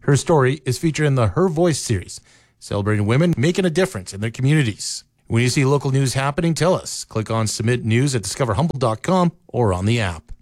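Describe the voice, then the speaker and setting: A man reading scripted local news in a steady newsreader manner, from a radio studio. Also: medium pitch, fast. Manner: steady, even newsreader delivery. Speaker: radio newscaster.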